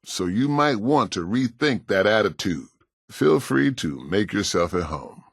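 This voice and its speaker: An actor playing a kindly, world-weary pastor in a video game, with an American accent and a gentle, folksy, plain-spoken way of talking. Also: male voice, fairly low pitch, lively intonation, unhurried, creaky, no room sound, studio quality, exaggerated voice. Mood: welcoming, earnest.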